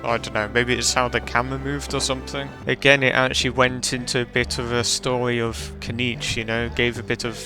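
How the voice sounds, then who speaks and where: A man's voice: mid-range voice, level tone, fairly quick, few pauses. A man streaming games, giving rambling, offhand reaction commentary with music in the background. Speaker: gaming streamer. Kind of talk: casual game reaction commentary.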